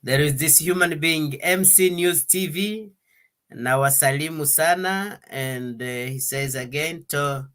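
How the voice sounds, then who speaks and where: A man hosting an interview, talking in a relaxed, halting way with many fillers and restarts, now and then slipping into French. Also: fairly high pitch. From Congo. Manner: hesitant, many fillers and restarts, switches between English and French. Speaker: interview host. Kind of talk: conversational interview. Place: Denmark.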